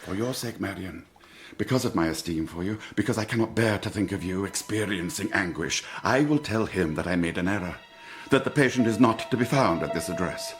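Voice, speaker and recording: An actor playing a suave, courtly gentleman villain in an audio drama, florid and theatrical, with menace under the courtesy. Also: deep voice, expressive, brisk, soft background music. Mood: tender menace.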